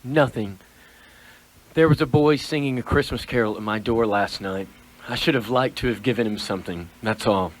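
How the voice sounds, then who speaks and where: A man playing a shaken miser confronted with his past in a radio play, halting and theatrical in delivery. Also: medium pitch, close to an everyday voice, low-quality recording. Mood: wistful.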